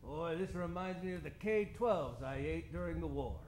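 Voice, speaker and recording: An actor playing a wholesome, gushing war veteran in a parody 1940s radio advert. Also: male voice, on the high side, expressive, moderate pace, a little mellow, natural voice. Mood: delighted. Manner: gushing, agreeable. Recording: roomy, faintly noisy stage recording.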